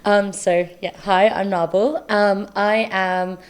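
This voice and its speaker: A woman's voice: medium pitch, steady tone, takes it slowly, clear, resonant tone. A young woman with a London accent, chatting enthusiastically and reflectively as an interview guest. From South London, England. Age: young adult. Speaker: podcast guest, medical student. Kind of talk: casual interview conversation.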